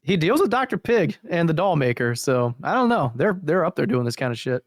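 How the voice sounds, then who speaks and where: A man's voice: a little high, big pitch swings, fairly quick, no echo, studio quality. A man co-hosting a podcast, chatting about horror videos in a blunt, jokey, sweary style. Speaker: podcast co-host. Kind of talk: casual joking chat about horror videos.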